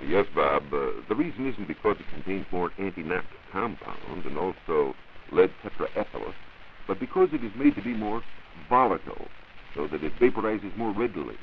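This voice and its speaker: A man's voice: low voice, huge pitch swings, normal speed, vocal fry. A man giving formal, precise scripted explanations on a 1940s educational science radio program. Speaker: science reporter and radio panelist. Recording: dull, hissy 1940s radio recording.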